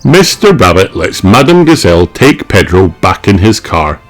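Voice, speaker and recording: A man narrating a children's storybook in an expressive, deliberate read-aloud style. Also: low voice, soft background music. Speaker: audiobook narrator.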